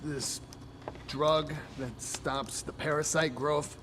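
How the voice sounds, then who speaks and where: Game cutscene role: inquisitive man, probing and measured, slightly high voice, noisy ambience behind. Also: fairly even intonation. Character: inquisitive adult male investigator questioning a researcher. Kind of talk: video game cutscene acting. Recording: quiet game audio with background noise.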